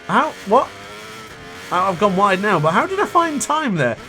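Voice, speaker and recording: A young man with a cold-hoarsened voice, chatting and thinking aloud while he commentates his own racing game play. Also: high voice, very animated, moderate pace, pushed and bright, slight background noise. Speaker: gaming youtuber. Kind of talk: live gameplay commentary.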